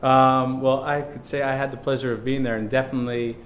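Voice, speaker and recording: A male host leads a casual interview in a friendly tone, dropping short agreements between his questions. His voice sits at a middling pitch, with a soft rumble of background noise. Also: little pitch movement, a little fast. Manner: friendly, with short backchannel replies. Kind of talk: conversational interview.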